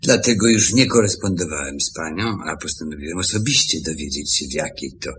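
A man acting in a radio play as a composed, formal visitor from abroad, with a measured, polite manner. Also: extremely low pitch, expressive, a bit bright. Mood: formal, reserved. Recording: noisy 1970s radio recording.

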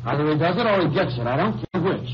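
An American actor playing a stern, threatening boss in a 1950s radio crime drama. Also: male voice, fairly high pitch, expressive, fast talker, lightly acted. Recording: echoey, muffled, very noisy radio recording.

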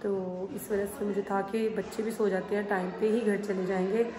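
Hoarse woman in her 30s vlogging on her phone at home, slow tired chatty talk, throat's sore. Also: medium pitch, little pitch movement, over music. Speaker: family vlogger. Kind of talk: casual vlog chat to camera. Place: indoors at home. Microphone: phone held at arm's length.

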